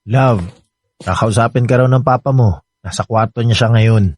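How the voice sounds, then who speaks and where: A man's voice: mid-range voice, normal speed, a little mellow, dry recording, studio quality, close to an everyday voice. A Filipino man playing a remorseful, pleading ex-boyfriend in a radio drama, earnest in delivery.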